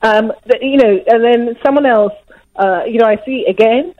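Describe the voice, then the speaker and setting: A woman's voice: fairly high pitch, moderate pace. A middle-aged woman with a Nigerian accent calls in to a radio show. She is upset and emotional, repeating herself and restarting her sentences as she talks. Her voice comes through a muffled phone line. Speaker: caller.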